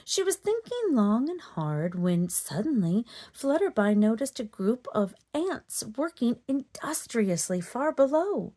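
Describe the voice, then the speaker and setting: A woman storyteller narrating a children's book in an expressive, dramatic read-aloud manner. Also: on the high side, measured pace, few pauses. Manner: dramatic, animated delivery for children.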